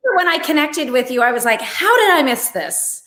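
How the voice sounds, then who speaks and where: A woman hosting a video-call interview, chatty and lively, quick to laugh. Her voice sits a little high, and the room around her is echoey. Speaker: host. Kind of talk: casual interview chat.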